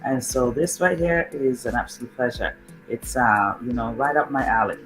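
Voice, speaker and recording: A man's voice: medium pitch, normal speed, a bit bright, soft background music, rough recording. A middle-aged man from Nigeria introducing himself as a guest, rambling with many fillers and restarts. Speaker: guest on an online show. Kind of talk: casual self-introduction.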